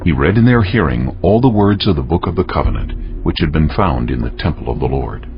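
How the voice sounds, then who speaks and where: A man narrating scripture from a script in a solemn, measured, deliberate way. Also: rumbling low, animated, muffled recording, soft background music.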